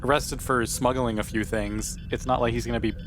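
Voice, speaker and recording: A man's voice: mid-range voice, steady tone, brisk, a bit bright, soft background music. A man in casual discussion as a player in a tabletop roleplaying group.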